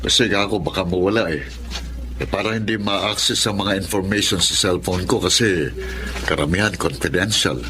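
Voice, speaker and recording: A man's voice: low-pitched, moderate pace, background noise, natural voice. A man playing a tired, evasive, irritable husband in a Filipino radio drama, curt and dismissive. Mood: defensive irritation.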